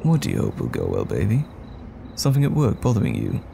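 A man acting as a tender, worried boyfriend in an intimate audio roleplay, speaking gently and soothingly to comfort a troubled partner at night. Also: sits low, wildly swooping pitch, quick pace, creaky, noisy background, exaggerated voice. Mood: tender concern. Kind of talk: intimate roleplay audio drama, soft bedside talk.

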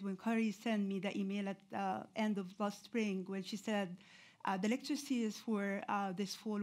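A woman in her forties, originally from Egypt, giving a public lecture in a hall with a lapel mic, talking conversationally with many pauses and fillers. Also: mid-range voice, a little mellow. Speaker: guest lecturer. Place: lecture hall with seated audience.

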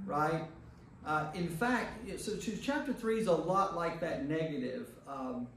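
An American man with a slightly high voice leads an informal Bible lesson, talking conversationally and putting questions to his listeners. He is recorded from far off in a heavily echoing room, with faint noise behind him. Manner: conversational, puts questions to listeners, checking in with "right?". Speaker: priest leading a Bible study. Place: indoor church meeting room with a group. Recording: echoey room from far off, noisy.

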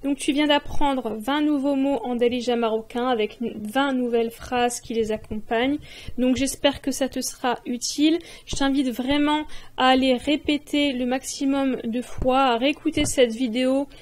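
A woman teaching vocabulary in a voice-over lesson, repeating each word slowly and clearly. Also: high-pitched, steady tone. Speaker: language teacher.

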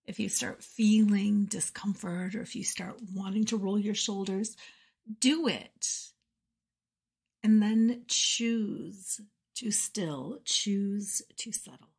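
A woman guides a meditation at a very unhurried pace, leaving space between short phrases. Her voice is soft and breathy with a husky grain, often settling into a gentle creak. The delivery is soothing and measured. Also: medium pitch. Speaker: meditation guide and podcast host.

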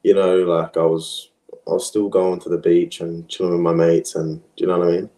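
Laid-back young man, low mellow voice. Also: brisk. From Australia. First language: French. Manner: relaxed, rambling, many fillers and restarts. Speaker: interview guest, professional footballer. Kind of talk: casual interview chat. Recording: echoey room.

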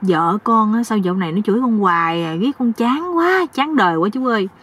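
A woman's voice: medium pitch, lively intonation, fairly quick, fairly bright tone, breathy edge. A woman voicing a gloomy, naive young husband who complains and pleads respectfully in a comic story. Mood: miserable complaining.

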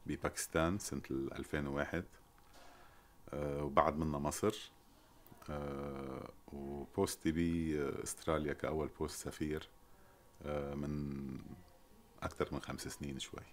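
A man in his fifties with a Lebanese Arabic accent, an ambassador answering in an interview in a measured, hesitant, formal manner. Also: rumbling low, level tone, slight background noise. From Lebanon and Sierra Leone. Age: 50s. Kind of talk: interview, recounting his career. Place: indoors, residence sitting room.

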